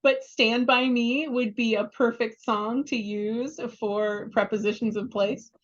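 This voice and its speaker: A woman teacher chiming into a discussion, flat and unhurried. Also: a little high, muffled recording. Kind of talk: casual discussion.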